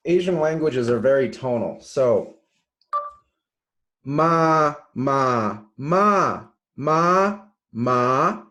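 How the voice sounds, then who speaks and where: A man lectures in an informal, halting way, restarting phrases and checking that listeners follow. His voice sits at a medium pitch and carries noticeable room echo, like a class held over a video call. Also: lively intonation, bright, forceful tone. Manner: halting, with self-corrections and checking "right?". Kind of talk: informal slide lecture with live demos.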